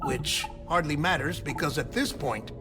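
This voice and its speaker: A man's voice: fairly high pitch, animated, normal speed, music in the background, close to an everyday voice. Man acting as a fussy, articulate game scientist. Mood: insistent, clinical. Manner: articulate, explanatory, theatrical phrasing. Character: fussy, smooth-talking male scientist-doctor, clinical and secretive. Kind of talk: cinematic video game dialogue.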